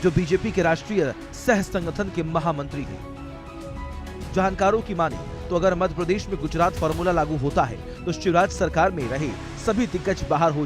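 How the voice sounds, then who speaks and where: Higher-voiced man, news voice-over with music. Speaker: news reporter. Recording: music bed under voice.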